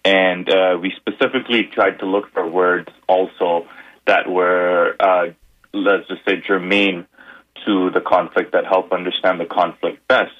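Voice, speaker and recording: A man, a guest journalist, explaining research findings in a radio interview, measured and full of fillers. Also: low-pitched, little pitch movement. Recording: muffled lo-fi radio audio.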